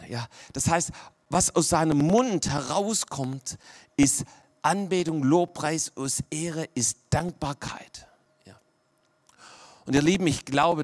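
Male pastor, slightly high voice, conversational sermon delivery with hushed emphasis. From Swabia, Germany.

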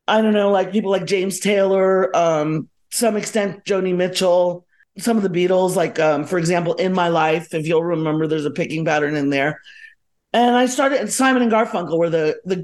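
A woman's voice: fairly low pitch, a little mellow. A middle-aged or older woman from South Florida, chatting casually as a podcast guest, digressing and correcting herself as she reminisces. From South Florida, United States. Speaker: podcast guest, musician and educator. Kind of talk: casual reminiscing interview chat.

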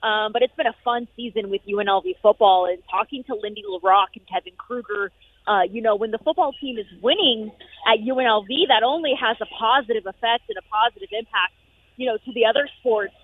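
Woman, slightly hoarse, upbeat sports reporter, tinny phone line, lots of fillers. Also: fairly high pitch, a little hiss or hum. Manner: upbeat, rapid, many fillers. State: losing her voice. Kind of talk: phone-in sports radio chat. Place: noisy arena.

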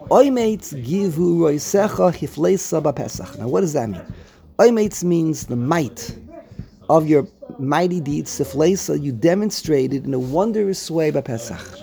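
Man with a cold, gravelly voice. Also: fairly high pitch, animated, unhurried, mellow. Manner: chatty, mixes Hebrew and Yiddish-flavored terms, explains line by line. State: congested with a cold. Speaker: Torah teacher. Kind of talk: Torah class on Haggadah piyut.

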